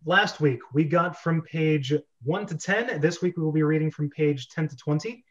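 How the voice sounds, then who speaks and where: A man's voice: a little high, moderate pace, dull audio. A man hosting a script-reading club over a video call, talking casually.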